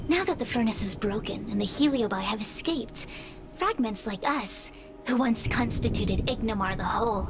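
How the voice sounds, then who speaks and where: A woman's voice: a little high, close to an everyday voice. A woman voicing a proud, mocking fire spirit in a video game, speaking in a theatrical storyteller's manner. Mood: grand storytelling. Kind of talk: video game character acting.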